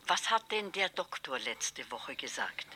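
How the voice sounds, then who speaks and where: A performer in a German radio drama playing a fond older parent who gently frets and urges. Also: mid-range voice, sing-song, a little slow, a little hiss or hum. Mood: tender, urging.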